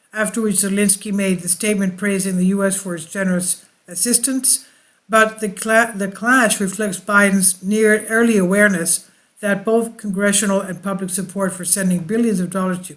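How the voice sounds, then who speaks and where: Halting online news commentator, mid-pitched voice. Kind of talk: reading news articles with off-the-cuff commentary.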